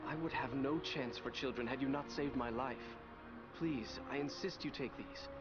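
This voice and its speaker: An actor playing an earnest, grateful young hero in a fantasy game, speaking formally and from the heart. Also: male voice, mid-range voice, moderate pace, highs cut off, lightly acted. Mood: grateful, weary. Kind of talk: video game cutscene acting. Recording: loud music behind the voice.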